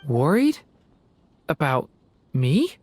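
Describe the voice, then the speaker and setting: A performer voicing a gentle, earnest and unsure youth in a video game drama, with a hesitant, soft-spoken manner. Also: medium pitch, wildly swooping pitch, very slow, heavily acted. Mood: apologetic, touched.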